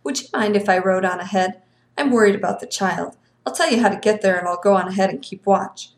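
A woman voicing a courteous but sinister bearded man in a yellow suit, speaking smoothly and patiently in a storybook reading. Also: mid-range voice, fairly quick, roomy sound, close to an everyday voice. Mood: tight. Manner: smooth, polite, quietly impatient.

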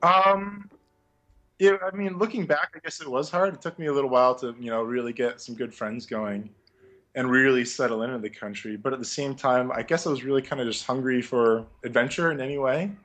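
A young man, once a music teacher, answers interview questions in a relaxed, reflective way, often pausing with fillers and restarting his sentences. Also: medium pitch. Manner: reflective, many fillers and restarts, laughs lightly. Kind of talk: casual conversational interview.

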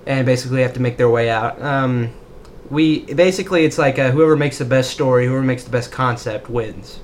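A man's voice: mid-range voice, a little fast, slight background noise. A young man co-hosting a casual podcast, rambling and joking about himself as he talks. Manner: rambling, self-deprecating, jokey. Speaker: podcast co-host. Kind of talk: casual chat.

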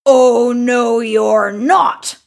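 A woman's voice: fairly high pitch, fairly even intonation, extremely slow, creaky, pitched above the performer's own voice, exaggerated voice. A woman voicing a big, hairy, furious male troll in a children's story, roaring and chanting in a menacing sing-song.

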